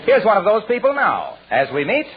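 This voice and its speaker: A thin, narrow-band old radio recording of an American man announcing. His highish voice moves in wide, emphatic swings, reading scripted copy with a polished 1940s salesman's delivery. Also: huge pitch swings, a little fast, crowd noise, noisy background.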